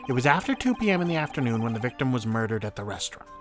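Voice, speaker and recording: A man's voice: mid-range voice, big pitch swings, rapid-fire, music in the background, natural voice. A man voicing a pompous, condescending courtroom prosecutor in a video game. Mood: scornful, haughty. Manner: haughty, condescending. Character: pompous, scornful male prosecutor scolding an amateur.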